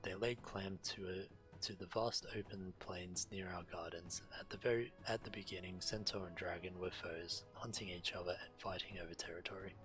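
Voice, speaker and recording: A man's voice: sits low, steady tone, moderate pace, few pauses, fairly bright tone, poor audio. A man streaming a game, reading quest text aloud and sometimes stumbling over the words. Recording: game music behind the voice. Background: video game music.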